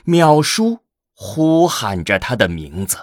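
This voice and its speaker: A man's voice: mid-range voice, very animated, takes it slowly, dry recording, clean studio sound. A man narrating a science-fiction radio drama in a dramatic, scripted style. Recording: clear background noise and ambience.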